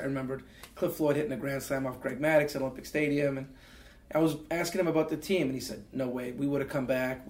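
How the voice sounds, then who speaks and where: A man's voice: mid-range voice, darkish tone. A Canadian man in his forties, an interview guest telling personal stories in an earnest, reflective way, with many fillers and restarts. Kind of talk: reflective storytelling. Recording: roomy echo.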